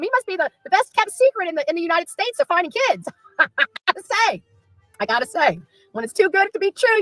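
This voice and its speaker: A woman with a very high, bright voice rants informally at a quick clip. She taunts and repeats her phrases for emphasis, close and dry over faint music. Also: no room sound, low-quality recording. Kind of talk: informal livestream rant.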